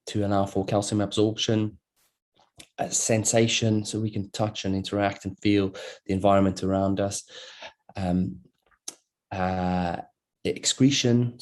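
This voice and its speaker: A middle-aged man from South Africa, a plastic surgeon giving a relaxed online lecture over a video call, with many fillers and restarts. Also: fairly low pitch. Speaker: plastic surgeon presenting a webinar. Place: at work, speaking into a computer.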